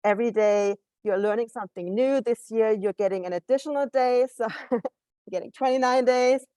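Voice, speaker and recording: A woman's voice: fairly high pitch, moderate pace, warm tone, no room sound. German accented lady on a video call, earnest, lots of ums, dry sound. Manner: earnest, with frequent hesitations. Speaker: council member. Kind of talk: meeting remarks.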